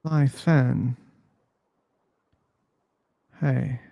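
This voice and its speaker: A man's voice: medium pitch, drawn-out words, creaky, dark tone, no echo. A man hosting a casual live-stream discussion on a video call, chatting idly and repeating words while he waits. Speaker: host. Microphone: computer mic on a video call.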